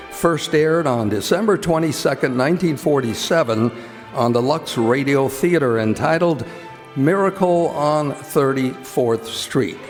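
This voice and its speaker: An American man reading scripted announcements and sponsor messages in the style of an old-time radio announcer, live in a theater. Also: medium pitch. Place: theater stage in a college. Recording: live theater hall, long reverb, music.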